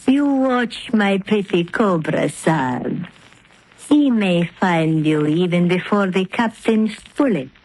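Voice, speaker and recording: A woman's voice: fairly low pitch, expressive, a little mellow, poor audio. An actress playing a cunning, villainous woman snake charmer in a 1930s radio drama. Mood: menacing. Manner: slow, menacing, theatrical.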